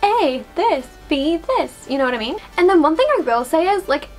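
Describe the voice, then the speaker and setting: A woman's voice: high-pitched, animated, moderate pace, soft background music. A young woman whose first language is Swedish, chatting casually to camera from a small bedroom, full of fillers. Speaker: vlogger.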